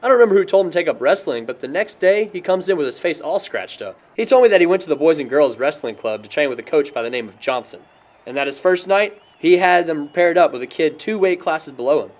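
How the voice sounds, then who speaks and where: A man's voice: fairly high pitch, animated, quick pace, close to an everyday voice. A young American man acting a sombre friend telling stories in conversational film dialogue. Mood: reflective. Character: sombre young friend recounting a classmate's tragic downfall.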